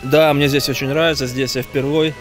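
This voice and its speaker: A man whose first language is Ukrainian, calmly describing his carving work in an outdoor interview. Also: medium pitch, brisk, music in the background. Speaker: carver. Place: outdoors at a woodcarving site.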